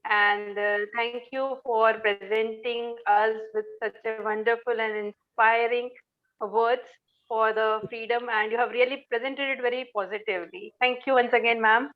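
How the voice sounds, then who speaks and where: Female event host, high register, formal and effusively polite, halting delivery, muffled online-call sound. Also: fairly even intonation. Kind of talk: formal event hosting, addressing participants. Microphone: online group call.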